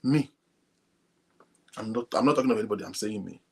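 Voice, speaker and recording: A man hosting an online talk show, speaking softly and addressing his viewers directly. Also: on the low side, a little fast, breathy edge. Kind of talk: casual talk to a live audience. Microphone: phone linked to a computer.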